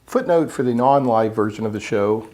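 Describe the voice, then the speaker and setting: A man's voice: lowish voice, lively intonation, moderate pace, dark tone, no room sound. A man hosting a radio show, chatting casually in a jokey, rambling way with frequent digressions. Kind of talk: casual, rambling interview chat.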